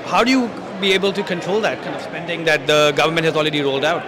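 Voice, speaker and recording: A man interviewing a guest at a convention, asking halting questions with fillers into a handheld mic. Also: a little high, crowd noise, noisy background. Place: large convention hall lobby, indoors.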